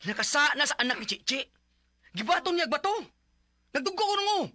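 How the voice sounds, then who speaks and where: A man's voice: very high voice, sing-song, fairly quick, sharp tone, full character voice. A man playing an exasperated, hot-tempered stepfather in a Bisaya radio drama, scolding and complaining. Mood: indignant.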